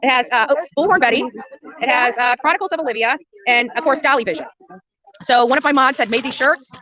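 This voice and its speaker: American lady ranting on a livestream, super fast and sharp, blunt, repeats herself, dull lo-fi audio. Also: sits high. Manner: blunt, combative, repetitive for emphasis. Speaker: livestream host and protest activist. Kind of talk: livestream rant to viewers.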